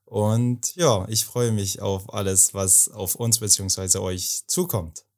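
A young man from Afghanistan co-hosting a podcast, talking hesitantly with many pauses and fillers as he searches for words. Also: lowish voice, fairly even intonation, a little mellow. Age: young adult. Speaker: podcast co-host. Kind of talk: casual podcast talk.

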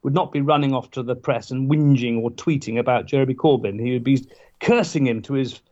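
A man, a guest in a casual interview, tells anecdotes with halting restarts and wry asides. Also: mid-range voice, darkish tone. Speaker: guest, former parliamentary candidate. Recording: dull lo-fi sound.

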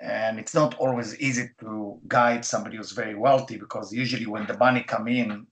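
A man from Israel with an Israeli accent, a Hebrew speaker talking in halting English with many fillers as a guest on a radio interview. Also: fairly low pitch, steady tone, fairly bright tone. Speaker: guest, Kabbalah teacher.

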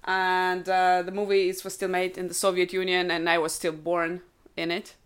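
A woman's voice: fairly low pitch, monotone, moderate pace, clear, resonant tone. A woman in her thirties from Ukraine, a native Russian speaker, chatting as a podcast guest and explaining things thoughtfully with many fillers. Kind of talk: casual chat.

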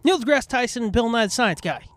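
A man co-hosting a podcast, chatting casually about pop culture in an animated, profanity-laced fan style. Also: very high voice, brisk. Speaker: podcast co-host.

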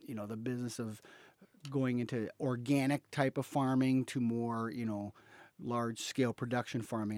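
A man's voice: lowish voice, darkish tone, no echo. A man answering interview questions thoughtfully, often repeating words while he searches for the next one. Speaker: interview guest.

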